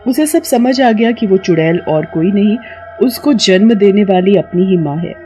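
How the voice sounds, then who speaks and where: Female story narrator, mid-pitched, scripted animated-tale delivery with music bed. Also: moderate pace.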